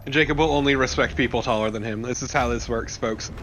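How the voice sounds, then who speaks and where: Guy riffing on a game with friends, sweary, bright highish voice, bit of noise. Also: fast, rough recording. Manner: sweary, wisecracking. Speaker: co-commentator. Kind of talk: casual banter. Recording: faint background noise. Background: game sound.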